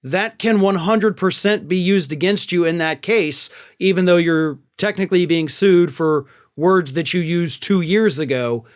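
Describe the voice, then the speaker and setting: High-pitched man giving emphatic political commentary. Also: unhurried, few pauses. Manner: emphatic, sarcastic. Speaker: political commentator, show host. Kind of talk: opinionated news commentary to camera.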